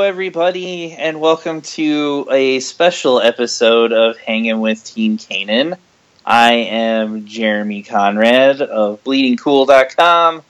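A man's voice: a little high, clear, resonant tone, dull audio. A young man co-hosting a fan podcast, chatting casually and teasing himself with dry jokes. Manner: joking, self-deprecating. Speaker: podcast host.

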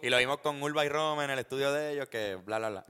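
A man's voice: mid-range voice, quick pace, bright, forceful tone. A man co-hosting a casual chat, laughing often and swearing casually. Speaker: podcast co-host.

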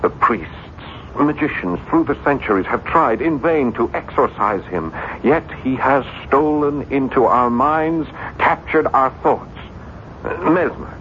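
Guy acting a tormented writer in an old radio drama, broody and argumentative, medium pitch, muffled tape. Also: animated, unhurried, lightly acted. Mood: haunted, fervent. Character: tormented male French writer, obsessed with an unseen evil. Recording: dull 1970s radio broadcast, faint rumbling noise.

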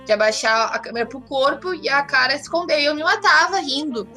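Bright high-voiced southern Brazilian woman. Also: fairly quick, faint music behind. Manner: candid, slangy storytelling. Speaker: interview guest. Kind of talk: casual anecdotal chat.

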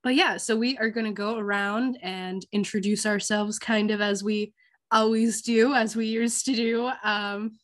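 A teenage girl hosts a book podcast in a loose, chatty way, with plenty of ums and likes. Her voice is slightly hoarse from a cold. She talks at an ordinary pitch. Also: fairly even intonation, a little slow. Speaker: podcast host. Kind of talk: casual book chat.

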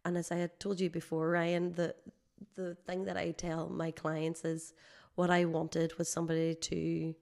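A woman guest, a holistic healer, explaining her work conversationally in a measured, reflective way, slightly hoarse from a cold. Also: fairly low pitch, completely flat delivery, a little mellow.

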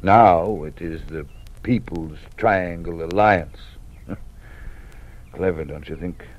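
A weary, fearful old dean. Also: male voice, deep voice, slow pace, highs cut off. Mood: earnest. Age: older. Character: weary older male dean, fearful, cautious. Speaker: college dean. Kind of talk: 1950s radio drama acting. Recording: old radio broadcast with faint hiss.

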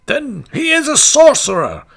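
A man's voice: high-pitched, lively intonation, brisk, creaky, fairly bright tone, breathy edge, pitched above the performer's own voice, exaggerated voice. A man voicing a crowd of alarmed townsfolk in a storybook reading, exclaiming shrilly.

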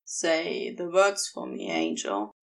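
Male demon lover for audio fiction, played by a woman: low, creaky, gentle, reassuring and in control. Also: big pitch swings, takes it slowly, full character voice. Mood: gentle, commanding.

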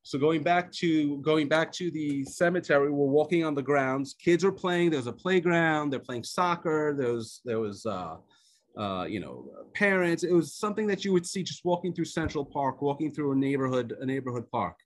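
A man from the United States whose first language is Hebrew, telling a personal story as a guest speaker on a video call, pausing often with fillers and restarts. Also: fairly high pitch, lively intonation. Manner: halting, with many fillers and restarts.

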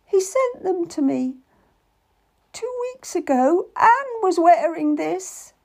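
A woman's voice: very high-pitched, sing-song, slow, creaky, pitched above the performer's own voice, exaggerated voice. Woman voicing a terrified, tearful young noblewoman. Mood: frightened. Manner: trembling, pleading, near tears. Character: frightened naive young noblewoman bride.

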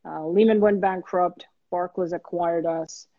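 A man, a guest in an interview, telling his career story in an earnest, steady way with many small restarts. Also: high voice, measured pace, dry recording, dull audio. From Bangladesh.

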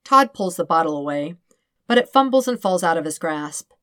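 A woman's voice: lowish voice, lively intonation, moderate pace, a bit bright, no echo, clean studio sound. A woman reading scripted fiction as an audiobook narrator.

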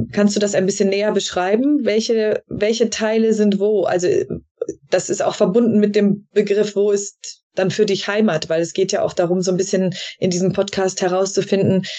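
A woman hosting a conversational podcast interview, phrasing her questions in a searching, halting way with trailing tags. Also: mid-range voice, dark tone. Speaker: podcast host interviewing a guest.

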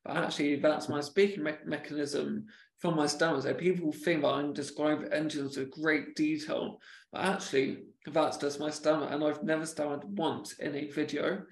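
A man with a stammer talks casually on a podcast, stalling and repeating words as he goes. His voice is slightly high and gravelly with a flat, even pitch. The room around him sounds echoey. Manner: halting, repeats words, speaks of own stammer.

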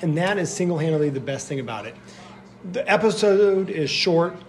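Chatty, slightly high-voiced male podcast host. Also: lively intonation, moderate pace, darkish tone, soft background music. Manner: chatty, full of "like" fillers. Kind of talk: casual podcast chat.

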